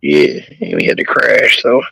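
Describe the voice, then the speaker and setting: A man's voice: a bass voice, huge pitch swings, moderate pace, crowd noise, low-quality recording. A man who is losing his voice, talking in casual crude banter as a caller on a live stream chat show, laid-back and rambling. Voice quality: gravelly, husky.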